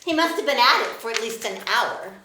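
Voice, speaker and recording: A woman's voice: a little high, expressive, a little fast, natural voice. A woman playing a nosy, officious, crotchety old landlady in a live screenplay table read. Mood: nosy, insistent. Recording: echoey room, faint noise.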